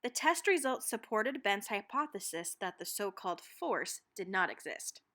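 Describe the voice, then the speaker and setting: A woman reading a children's picture book aloud expressively, with dramatic, deliberate emphasis on key phrases. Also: mid-range voice, big pitch swings, fairly bright tone. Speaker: read-aloud narrator.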